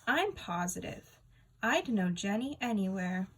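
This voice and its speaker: A woman's voice: mid-range voice, very animated, a little slow, fairly bright tone, breathy edge, full character voice. A woman voicing a hot-tempered, indignant schoolboy in a storybook, emphatic and exasperated. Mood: insistent.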